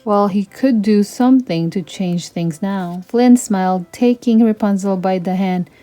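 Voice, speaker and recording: A woman reads a children's storybook aloud as narrator. Her voice is very soft-edged and mellow, sitting at a medium pitch for a woman. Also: a little slow, faint music behind. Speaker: storytime read-aloud host.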